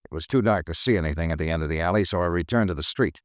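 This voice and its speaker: An actor playing a persistent insurance investigator who narrates a 1950s American radio drama in a wry, dry way. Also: male voice, low voice, racing, dry recording, lightly acted. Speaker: lead and narrator.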